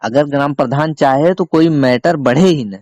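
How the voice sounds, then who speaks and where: Male reporter, slightly high voice, dry close sound, insistent repeated questioning, informal phone interview. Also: moderate pace, a little mellow, no room sound. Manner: insistent, repetitive, emphatic questioning. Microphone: recorded phone call.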